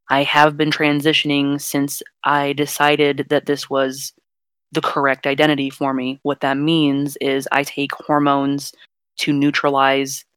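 A young non-binary podcast host with a fairly deep voice, talking frankly and at length in a casual chat. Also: fairly bright tone, clear, resonant tone, no echo. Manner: earnest, frank, explains at length.

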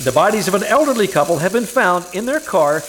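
An actor playing a polished old-time radio announcer with an emphatic, formal delivery. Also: male voice, high voice, big pitch swings, fast, a bit bright, slight background noise. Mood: grave urgency. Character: polished radio pitchman and news bulletin announcer.

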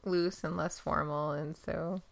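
A woman co-hosting a casual podcast, easygoing and quick to laugh. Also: low-pitched, steady tone, creaky. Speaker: podcast co-host. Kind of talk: casual chat. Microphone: podcast studio mic.

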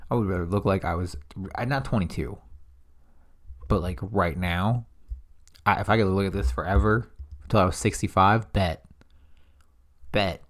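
A young man co-hosting a podcast, chatting casually and telling lively, profane stories. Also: deep voice, moderate pace, pauses often. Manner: animated storyteller, swears freely. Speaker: podcast co-host. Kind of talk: casual chat.

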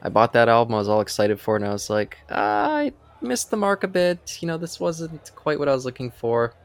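A man's voice: mid-range voice, sing-song, a little fast. A man co-hosting a podcast, chatting casually about his own listening habits. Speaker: podcast co-host. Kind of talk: casual chat.

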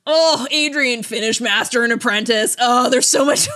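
A woman's voice: a little high, moderate pace, bright, forceful tone, no room sound. A woman co-hosting a podcast, talking through a book casually in a thoughtful, hesitant way. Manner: hesitant, thoughtful, hedging delivery. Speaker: podcast co-host.

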